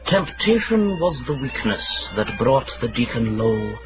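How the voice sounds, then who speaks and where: An actor playing a florid amateur poet, declaiming grandiose verse pompously in a radio drama. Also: male voice, mid-range voice, sing-song, measured pace, loud music behind the voice. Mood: grand. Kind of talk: period radio drama acting. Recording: muffled, noisy vintage radio recording.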